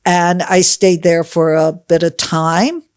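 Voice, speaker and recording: A woman's voice: lowish voice, fairly even intonation, takes it slowly, darkish tone, clean tone, dry recording, clean studio sound. A woman in her sixties from Connecticut, answering interview questions in a chatty, emphatic, conversational way. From United States (Connecticut). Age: 60s. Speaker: podcast guest, personal trainer.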